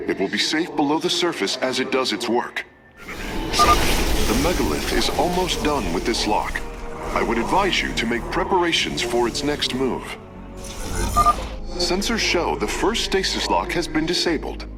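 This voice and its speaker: A man's voice: medium pitch, sing-song, unhurried. A man playing a polite, studious alien engineer in a video game, giving precise, formal technical reports in a deferential, eager manner. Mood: businesslike reporting. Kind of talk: dramatic video game character acting. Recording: voice under loud game music.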